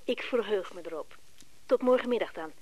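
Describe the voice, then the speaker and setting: A woman's voice: mid-range voice, expressive, normal speed, natural voice, rough recording. An actress playing a bold, flirtatious and calculating wife of a wealthy businessman in a radio play, coaxing and forward. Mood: flirtatious, inviting. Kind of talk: Dutch radio play acting.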